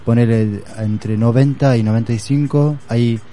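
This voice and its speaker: A man's voice: medium pitch, fairly even intonation, moderate pace, dark tone, faint music behind. A man speaking in a casual conversation, hesitant and searching for words, with many fillers. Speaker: guest.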